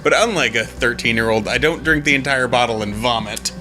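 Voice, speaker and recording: A man's voice: medium pitch, fairly quick. Man around 30, let's play co-host, chatty and self-deprecating, music underneath. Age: 30s. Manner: chatty, self-mocking, laughs often. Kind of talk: casual gameplay chat.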